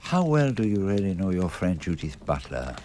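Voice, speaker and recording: A fussy, vain male detective in a radio drama, with a French-speaking Belgian accent. His low voice rises and falls theatrically while staying formal and exact. There is faint noise behind him. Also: very animated, normal speed, dark tone. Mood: cautious, grave. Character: fastidious, vain, precise foreign male detective.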